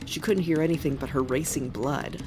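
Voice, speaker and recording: A woman's voice: sits low, normal speed, darkish tone. Female story narrator over music. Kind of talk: scripted dramatic fiction narration.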